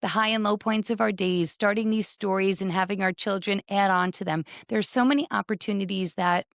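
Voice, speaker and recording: A woman's voice: mid-range voice, fairly even intonation, brisk, creaky, no room sound. Effusive woman hosting, dry close sound. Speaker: podcast host. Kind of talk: conversational podcast interview.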